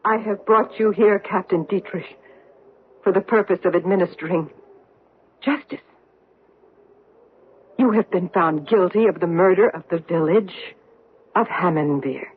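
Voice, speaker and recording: An actress in a 1970s radio drama playing a woman survivor who calmly accuses a war criminal, in an intense, measured way. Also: lowish voice, slight background noise, close to an everyday voice. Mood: solemn. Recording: narrow tinny 1970s radio broadcast.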